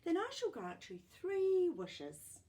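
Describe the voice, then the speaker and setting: A woman voicing a kindly, magical fairy godmother snail in a children's storybook, grand and deliberate. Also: extremely high pitch, wildly swooping pitch, warm tone, voice pushed up, exaggerated voice.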